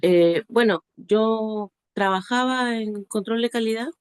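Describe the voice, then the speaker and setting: A woman's voice: on the high side, takes it slowly, darkish tone, clean tone. A woman from Peru introducing herself as a student in an online class, speaking hesitantly with tag questions.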